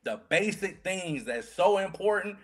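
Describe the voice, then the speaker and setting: A man in his late thirties chatting casually and reflectively as co-host, in a slangy, relaxed way. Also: high-pitched, measured pace, soft background music. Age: late 30s. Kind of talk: casual chat.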